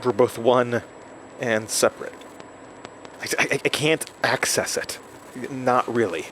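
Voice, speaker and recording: An actor in an audio drama playing a rattled, self-doubting man who records a halting, stammering journal, talking to himself. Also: mid-range voice, rough recording. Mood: haunted, confiding. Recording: steady noise, like inside a moving car.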